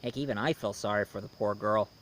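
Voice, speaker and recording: A man giving a casual, conversational review commentary with history asides, speaking informally and haltingly as he goes. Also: on the low side, fairly bright tone, slight background noise. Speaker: video reviewer.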